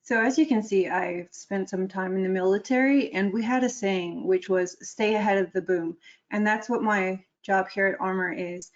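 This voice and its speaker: Female webinar guest, mid pitch, relaxed and conversational, muffled lo-fi audio. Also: normal speed. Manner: relaxed and conversational, with small restarts. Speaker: guest threat analyst. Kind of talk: casual professional explanation.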